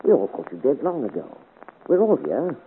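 An actor playing a hearty French musketeer in a radio adventure serial, brisk and declamatory. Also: male voice, mid-range voice, huge pitch swings, narrow, tinny audio. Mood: hearty, comradely. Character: hearty, challenging 17th-century French musketeer soldier. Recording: scratchy 1950 radio serial, thin and noisy.

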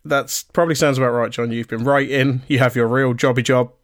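A man co-hosting a podcast, relaxed and chatty and full of ums, his voice going a little hoarse. His middle-pitched voice is recorded close on a dry, dead mic. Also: brisk, no echo. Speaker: podcast co-host. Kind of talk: casual podcast chat.

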